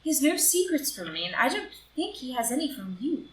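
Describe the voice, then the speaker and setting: A woman playing an upright, devoted, morally strict society wife in a live reading of a stage comedy, in a formal, deliberate way. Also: high-pitched, big pitch swings. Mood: confiding, proud. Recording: roomy, distant, noisy live group recording.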